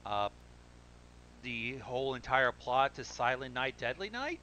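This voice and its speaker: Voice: mid-range voice, sing-song, a little slow, a little hiss or hum. A person chatting casually while reacting to a video and reading its text aloud, swearing casually. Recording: dull lo-fi mic, loud music behind. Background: music.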